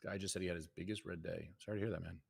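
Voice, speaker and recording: Deep-voiced man, blunt teasing trader. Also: steady tone, very fast, gravelly, a crowd in the background. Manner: teasing, blunt trash talk. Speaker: co-host trader. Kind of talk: casual live-stream banter.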